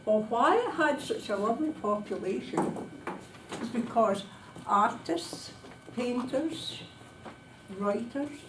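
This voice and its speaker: A woman giving a prepared descriptive travel speech to a club, speaking dramatically and emphatically in short phrases with pauses. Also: fairly high pitch, animated. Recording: distant, echoey room recording with background noise.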